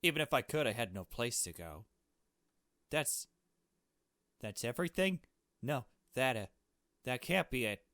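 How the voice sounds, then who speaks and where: A man voicing a frightened, earnest kidnapped young adventurer in a visual novel, speaking in a halting, pleading way. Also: medium pitch, big pitch swings, a bit bright, dry recording, studio quality, pitched above the performer's own voice. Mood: despairing. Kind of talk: dramatic visual novel read-aloud.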